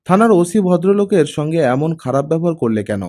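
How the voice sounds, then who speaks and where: A man's voice: on the high side, expressive, a little fast, darkish tone, pitched above the performer's own voice, close to an everyday voice. A man voicing a stern wife in an audiobook drama, speaking in a cold, scolding, cutting manner. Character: stern, sharp-tongued wife of a retired officer.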